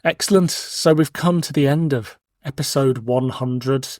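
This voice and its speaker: A man's voice: medium pitch, unhurried, darkish tone, no room sound. Man hosting a podcast, dry close sound. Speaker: podcast host. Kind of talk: casual review chat.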